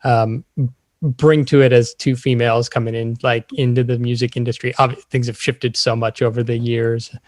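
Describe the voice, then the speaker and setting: A man's voice: medium pitch, little pitch movement, normal speed, a little mellow, no echo. A man hosting a podcast, asking informal, roundabout questions in a casual chat. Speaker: podcast host interviewing guests.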